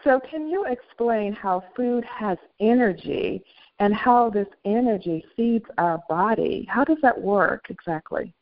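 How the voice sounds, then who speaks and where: A woman's voice: medium pitch, lively intonation, measured pace, lo-fi sound. A woman hosting a talk show, reacting effusively with frequent exclamations of amazement. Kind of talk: interview hosting.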